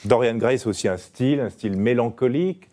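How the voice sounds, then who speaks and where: A man in his thirties presents the evening news in a TV studio. His voice is warm and mellow at an average pitch, and he runs the interview with brisk, scripted questions.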